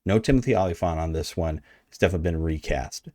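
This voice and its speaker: A man's voice: low voice, fast talker, darkish tone, dry recording, clean studio sound. An American man hosting a video essay, talking in a casual, conversational and slightly wry way. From United States. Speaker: video host.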